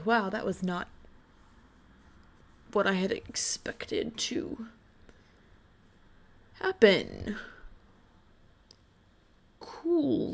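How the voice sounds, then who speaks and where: Creaky-voiced, slow-paced woman vlogger. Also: mid-range voice. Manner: halting, pauses often. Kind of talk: casual unscripted vlog chat to viewers.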